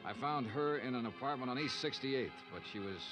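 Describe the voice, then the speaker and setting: An American man playing a brisk, loyal insurance investigator in a 1950s radio detective drama. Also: mid-range voice, lightly acted, rough recording. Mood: businesslike. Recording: old radio broadcast with music behind.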